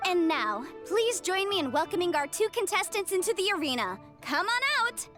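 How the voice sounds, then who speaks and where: A woman's voice: very high-pitched, very animated, normal speed, music in the background. A woman voicing a confident young contest host in an anime video game, with formal announcer delivery and showy flourishes. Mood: showy, rousing.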